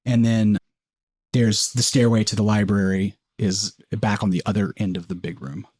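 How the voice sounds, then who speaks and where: A man's voice: on the low side, fairly even intonation, normal speed, darkish tone, no room sound. A man working as game master, improvising descriptions in an informal, conversational way. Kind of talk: improvised tabletop game narration.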